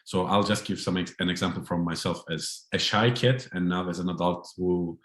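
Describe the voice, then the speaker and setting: A low-voiced man runs a training session over a video call. He explains in a friendly way, his voice echoing in a roomy space. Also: fairly even intonation, normal speed. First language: Turkish. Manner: friendly, explanatory, light laughter. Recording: roomy echo.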